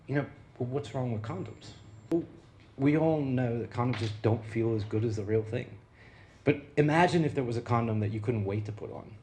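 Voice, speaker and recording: A man's voice: lowish voice, darkish tone, a little hiss or hum. An American man, a researcher, giving a conversational public talk with slides, full of fillers and restarts. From United States.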